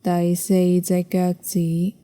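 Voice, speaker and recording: A woman with a Hong Kong Cantonese accent guides a yoga nidra meditation in a soft, measured, soothing way, leaving long pauses. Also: fairly low pitch, monotone.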